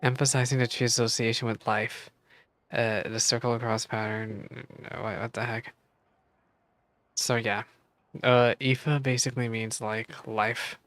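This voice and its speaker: Low-voiced woman streaming casually. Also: flat delivery, a little slow, a bit bright. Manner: rambling, playful, gasping reactions. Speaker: streamer reacting to a game. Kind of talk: casual live playthrough commentary.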